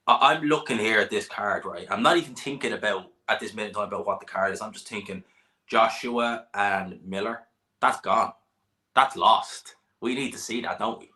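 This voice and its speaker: A man with a fairly low but bright, forceful voice hosts a casual boxing live stream. He teases his guest, laughs a lot and keeps the chat moving. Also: normal speed. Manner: jokey, banter-heavy, laughs often. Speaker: boxing channel host.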